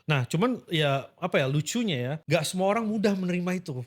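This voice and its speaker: Fast-talking modest man. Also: sits high, expressive. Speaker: guest, veteran animator. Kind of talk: casual podcast explanation.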